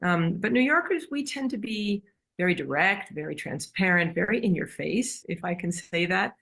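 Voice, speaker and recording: American woman, low-pitched, explaining directly. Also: lively intonation, normal speed, gravelly, a bit bright. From New York, United States. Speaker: interview guest, community therapist. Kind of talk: conversational interview.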